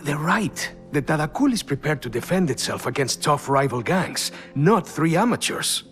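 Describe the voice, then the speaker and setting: A man's voice: a little high, animated, normal speed, fairly bright tone, soft background music. An actor playing a mild, agreeable young man in a heist crew, in a game cutscene. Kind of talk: video game cutscene acting.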